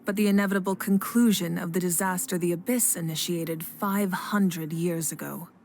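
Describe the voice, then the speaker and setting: A woman's voice: on the low side, steady tone, normal speed, a little mellow, soft background music, natural voice. A woman playing a composed, commanding female leader in a dramatic video game. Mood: grave.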